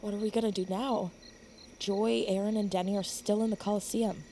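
A woman's voice: mid-range voice, fairly even intonation, warm tone, slight background noise, close to an everyday voice. An actress playing an agitated, mocking young woman on the run in an audio drama, talking in sarcastic, rattled bursts. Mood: worried. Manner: sarcastic, rattled, rapid-fire questioning.

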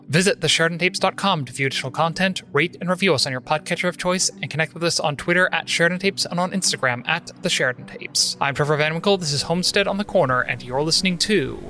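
A man announces podcast credits in a fast, scripted delivery. His voice is bright and a little gravelly, with faint music behind it. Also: on the high side. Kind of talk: scripted narration.

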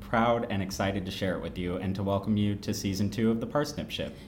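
Guy cohosting a live show, lowish voice, casual, little bit of noise. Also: steady tone, fairly quick, darkish tone. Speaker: show co-host. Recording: faintly noisy live recording, dulled highs.

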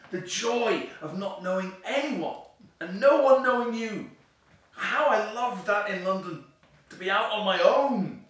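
An actor playing a lonely, disappointed council member in an audio play, confiding and longing for city life. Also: male voice, high-pitched, expressive, a little slow, a bit bright. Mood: wistful longing. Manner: wistful, discontented, confiding. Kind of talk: audio play acting, reflective dialogue. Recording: very echoey room, slightly distant mic.

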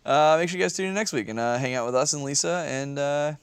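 A man's voice: on the high side, fast, clean tone, no echo. A man co-hosting a podcast, bantering casually and loosely with the panel. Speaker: podcast co-host.

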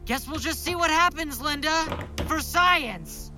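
A man's voice: extremely high pitch, takes it slowly, music in the background. An actor playing a paranoid, sardonic man unravelling as he records a log of his psychedelic self-experiment in an audio drama. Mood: paranoid, taunting. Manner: agitated, muttering, sardonic. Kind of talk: audio drama monologue, spoken case-study log.

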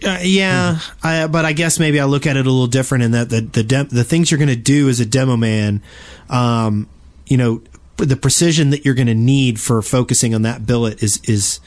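A man co-hosting a gaming podcast, explaining his points casually and haltingly, often doubling back on his words. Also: medium pitch. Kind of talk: casual panel discussion about video games.

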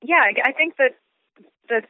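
A woman's voice: high voice, normal speed, no room sound. Thoughtful woman, tinny phone-like sound. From Switzerland. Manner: thoughtful, frequent fillers and restarts. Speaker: author interviewed about her book. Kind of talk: casual interview.

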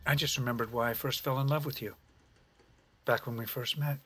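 President: earnest, remorseful, mid-pitched, forceful and raspy, intimate audio drama delivery. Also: male voice, fairly even intonation, brisk. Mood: tender.

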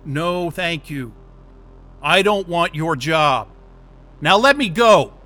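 An agitated captive techie in an audio drama, high-pitched for a man and sharply tense. He is sarcastic and defiant, with anger and fear pushing at his words. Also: expressive, slow, sharp tone, heavily acted. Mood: sullen defiance. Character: angry, sarcastic, panicked male software developer held captive.

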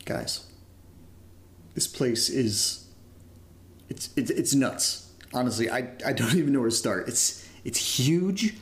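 A man's voice: medium pitch, lively intonation, takes it slowly, pauses often. An actor playing a casual young man who documents his creepy new house in a found-footage horror audio drama, rambling informally with some swearing and self-mockery. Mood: amazed, excited.

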